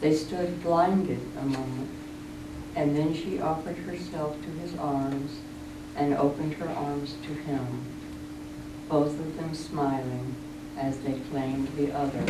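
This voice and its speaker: Low-voiced, slow woman in an echoey room. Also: fairly even intonation. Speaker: reading group participant. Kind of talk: slow poetry read-aloud and group discussion. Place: indoor room at a senior center. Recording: echoey room, distant mic, clear noise.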